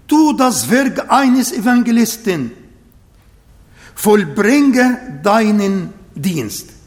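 Man, Arabic accent, high voice, slow deliberate sermon, church hall with long reverb. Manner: slow, emphatic, repeats phrases for the congregation. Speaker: preacher. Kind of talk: sermon with prayer. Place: church hall, livestreamed service.

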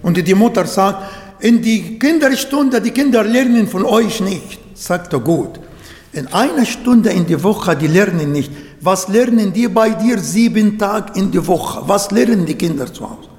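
Arabic-native male preacher, high voice, slow earnest delivery, echoey hall recording. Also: animated, a little mellow. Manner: earnest, searching for German words. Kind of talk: sermon.

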